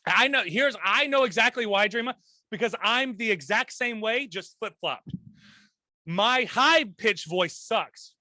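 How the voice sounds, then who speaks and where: Loud, animated male podcast host with crude, jokey banter, high voice. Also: measured pace, dry recording, rough recording. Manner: crude, joking banter, laughing often. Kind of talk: casual chat.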